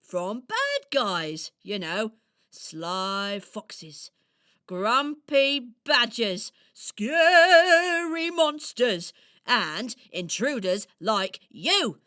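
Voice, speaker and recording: A woman's voice: on the high side, very animated, drawn-out words, pushed and bright, full character voice. A woman playing a cheeky, blustering but kind-hearted robin guarding a garden in a children's audio drama, brash and punchy.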